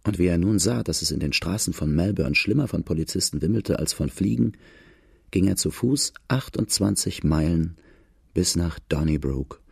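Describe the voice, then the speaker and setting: A man playing a grave outlaw who recounts his hard life in a radio drama, measured and plain-spoken. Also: low-pitched, gravelly, darkish tone, husky, no room sound, studio quality, full character voice. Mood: grave, reflective. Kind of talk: first-person radio drama narration.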